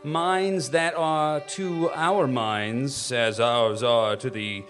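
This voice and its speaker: A man's voice: mid-range voice, fairly even intonation, a bit bright, soft background music. A man playing a grave, ominous storyteller, giving solemn scripted narration in a radio play. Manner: solemn, measured phrasing. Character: grave, ominous male narrator of an alien invasion tale.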